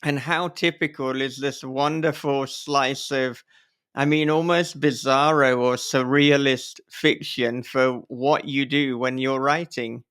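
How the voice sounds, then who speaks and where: A man hosting a casual interview podcast, speaking in halting, thoughtful phrases as he talks with a guest. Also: medium pitch, steady tone, a bit bright, clear, resonant tone.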